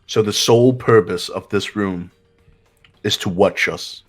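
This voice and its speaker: A man voicing a cool, authoritative student sleuth in an amateur game dub, speaking with deliberate, formal certainty. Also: fairly low pitch, faint music behind. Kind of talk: amateur voice acting of a video game.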